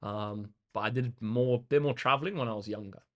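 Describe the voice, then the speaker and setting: This is a young man from England who runs a maths livestream. He answers viewers' comments in a relaxed, friendly way, often pausing with um and uh. His voice sits at a middle pitch for a man, and he is talking indoors in a room with a big window. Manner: relaxed and chatty, with frequent ums and uhs. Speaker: maths tutor hosting a livestream. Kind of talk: casual chat answering live comments.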